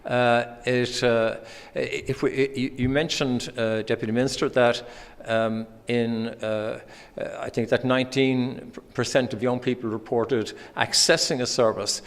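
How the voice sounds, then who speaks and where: A man from Ireland with an Irish accent, giving a conference presentation with many pauses and restarts. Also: medium pitch, flat delivery, clean tone. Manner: hesitant, many ums and restarts. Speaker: conference speaker. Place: conference hall. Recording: hall reverb.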